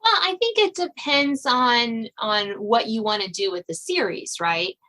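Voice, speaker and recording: A woman's voice: fairly high pitch, big pitch swings, a little slow, sharp tone. A woman hosting a podcast interview, talking in a chatty, laughing way with many fillers. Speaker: podcast host. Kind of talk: casual interview chat.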